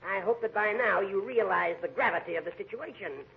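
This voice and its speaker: An actor with an American accent playing a crotchety, imperious judge in a 1940s radio comedy. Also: male voice, sits high, fairly quick, creaky. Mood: stern. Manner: imperious, scolding, sarcastic. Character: crotchety, easily offended male judge ruling his courtroom. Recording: muffled, noisy 1940s radio recording.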